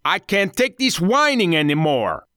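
Man acting a raised-pitch, brutal gang ringleader. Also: big pitch swings, a little slow, sharp tone, clean tone, dry recording, studio quality, exaggerated voice. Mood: furious, threatening. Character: brutal, short-tempered middle-aged male gang ringleader.

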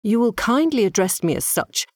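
A woman's voice: fairly high pitch, big pitch swings, moderate pace, darkish tone, dry recording. Cast: woman doing a haughty, proper young lady, clipped and firm, dry sound. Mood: stern. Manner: clipped, imperious.

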